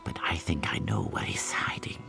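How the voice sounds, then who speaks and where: A man voicing a cocky but earnest and loyal lizardfolk scout and tracker in a video game, with a gravelly voice. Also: moderate pace, whispered, close to an everyday voice. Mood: resolute. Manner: tags sentences with a questioning "yes?". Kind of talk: video game character dialogue. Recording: game music under the voice.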